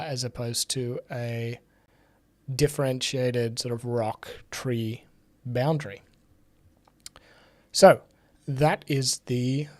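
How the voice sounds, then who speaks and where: A young man with a touch of rasp in his throat talks through things on a live stream. He sits at his desk in a home office and speaks at an ordinary pitch. He works through his thoughts with plenty of fillers and now and then slips in a deadpan quip. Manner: thinking aloud with frequent fillers, dry sarcastic asides. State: raspy voice recovering from illness. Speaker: livestream host. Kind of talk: casual live tech demo commentary. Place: home office with bookshelves, indoors.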